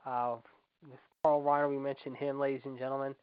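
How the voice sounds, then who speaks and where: A man in his forties hosts a casual radio show at a quick clip. He leans on repeated stock phrases and emphatic announcer-style address. The sound is dry and somewhat muffled, like lo-fi internet radio. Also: mid-range voice, level tone, clear, resonant tone, no echo. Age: 40s. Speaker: host. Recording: dull lo-fi internet radio audio.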